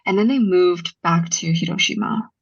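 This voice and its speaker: A woman's voice: lowish voice, moderate pace, breathy edge. A young woman, a podcast guest who tells stories in a casual way, with many ums and likes. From Los Angeles, USA. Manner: storytelling, frequent ums and likes, laughs easily. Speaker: podcast guest, brand founder. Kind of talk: casual interview chat. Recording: dull muffled podcast audio.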